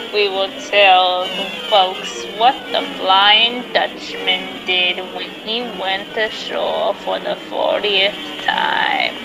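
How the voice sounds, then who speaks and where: Slow, cackling old witch. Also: mid-range voice, rough recording. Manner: slow, gloating, cackling delivery. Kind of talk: old-time radio horror host acting.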